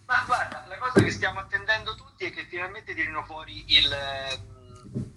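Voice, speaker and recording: A man's voice: high voice, wildly swooping pitch, brisk, gravelly, pushed and bright, faint music behind, low-quality recording. A man with an Italian accent giving informal theatre commentary on the radio in a hesitant, digressive, wry way.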